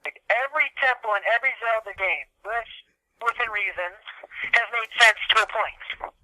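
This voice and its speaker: A man's voice: sits high, normal speed, bright, forceful tone, dry recording, poor audio. A man co-hosting a casual gaming podcast, ranting in exasperated mock-seriousness and swearing freely. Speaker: podcast co-host.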